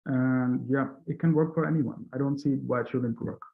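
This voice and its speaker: A man who speaks English as a non-native language, telling his coaching results earnestly with halting restarts. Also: mid-range voice, steady tone, gravelly. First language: not English. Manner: earnest, halting restarts, rising on key numbers. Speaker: coaching client, interview guest. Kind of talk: testimonial video call chat. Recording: echoey room over a tinny call line.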